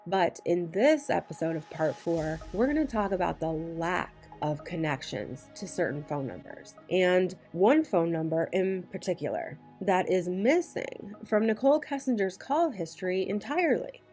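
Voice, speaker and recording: A woman with a somewhat low voice presents scripted true crime narration. Her storytelling is measured and deliberate, leaning on key phrases for emphasis. Faint music runs behind her. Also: expressive, darkish tone.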